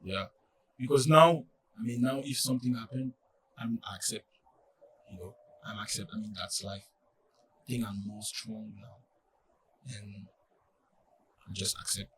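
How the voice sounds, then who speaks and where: A young man from France with a French accent, speaking English as a second language in a casual interview, halting and full of fillers. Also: on the low side, fairly bright tone, far from the mic, a little hiss or hum, poor audio. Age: young adult. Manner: halting, many fillers and restarts. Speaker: college soccer player, guest.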